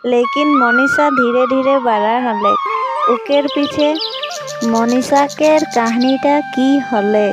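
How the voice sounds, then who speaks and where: A woman's voice: sits high, slow. A woman narrating the opening of a cartoon story like a storyteller. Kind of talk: storybook narration over music. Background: loud music.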